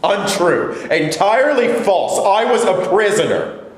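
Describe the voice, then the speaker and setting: A man's voice: sits high, expressive, measured pace. A man acting the part of a defensive, evasive elderly Holocaust survivor accused of collaborating, protesting in a staged play reading. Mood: indignant, defensive. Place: indoor room at a staged reading. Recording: echoey room, light noise, distant pickup.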